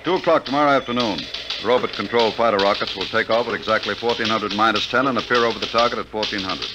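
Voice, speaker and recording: An American actor in a 1950s radio drama, playing a businesslike army general with a brisk, clipped military delivery. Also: male voice, on the low side, loud music behind the voice, lightly acted, rough recording.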